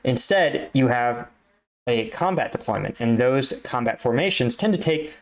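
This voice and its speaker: A man talks in a medium-pitched voice, commenting casually as a veteran would. He explains military ideas in stages, often stopping to check that the listener follows. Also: lively intonation, normal speed, low-quality recording. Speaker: host, army combat veteran reacting.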